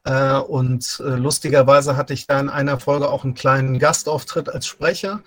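Halting middle-aged man, dry sound. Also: mid-range voice, no room sound. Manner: halting, hesitant delivery with many filled pauses. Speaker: interview guest, audio drama writer. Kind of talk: casual interview chat.

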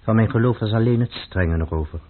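An actor playing a stern, devout, grave poet in a radio play, speaking in a measured, formal way. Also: male voice, on the low side, close to an everyday voice. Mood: grave, earnest. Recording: muffled, phone-like old radio recording.

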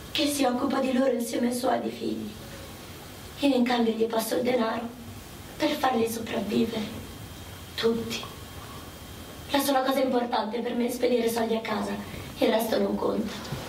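High-voiced wistful war widow, roomy sound. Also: female voice, fairly even intonation, moderate pace, gravelly, pauses often, a little mellow. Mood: resigned, wistful. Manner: wistful, earnest, hesitant. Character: gentle, sorrowful young widowed mother turned wartime prostitute. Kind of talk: dramatic radio play dialogue. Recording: roomy, distant mic with faint noise.

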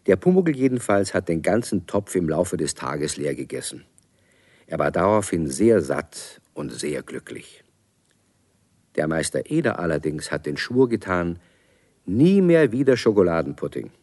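A man's voice: deep voice, sing-song, unhurried, darkish tone, exaggerated voice. A man playing a genial narrator, telling a scripted story for a children's radio play. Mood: amused.

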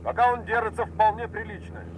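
A man's voice: sits high, steady tone, a little fast, slight background noise, close to an everyday voice, rough recording. An actor playing a chatty, slightly impudent but obedient airman in a bomber crew, in a radio war drama. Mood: eager, cheeky. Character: cheeky, eager male bomber gunner, deferential airman. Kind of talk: Soviet radio drama acting.

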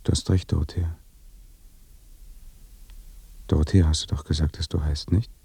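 Low, gravelly, haunted dead man. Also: level tone, normal speed, mellow, dry recording, full character voice. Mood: quiet, resigned. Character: dead son recounting his death by fear, haunted, weary. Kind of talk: German radio play acting, slow intimate monologue.

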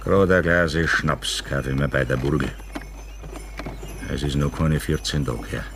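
An actor playing a stubborn, earthy, schnapps-loving country carter with a Bavarian accent in a 1960s radio play. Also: male voice, rumbling low, fairly bright tone, a little hiss or hum, full character voice. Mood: stubborn, easygoing. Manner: unhurried, obstinate, earthy.

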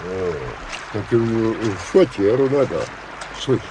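An actor in a radio play playing a matter-of-fact peasant Cossack soldier with folksy, drawling speech. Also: male voice, lowish voice, slow, noisy background. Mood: pondering, practical.

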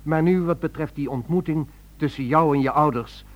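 An actor playing an earnest, soothing crisis-line helper in a radio drama, calm and reassuring. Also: male voice, on the high side, normal speed, heavy background noise, natural voice. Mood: soothing, hurried.

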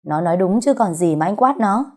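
A woman's voice: low voice, animated, moderate pace, warm tone. A woman voicing a spiteful, jealous pregnant aunt who sneers and picks quarrels in an audio story. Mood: indignant.

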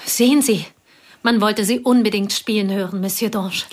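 An actress playing a reserved, measured confidante. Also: female voice, medium pitch, fairly even intonation, natural voice. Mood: restrained. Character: reserved female teacher, dead wife's confidante. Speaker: actor in radio play. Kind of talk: radio drama acting.

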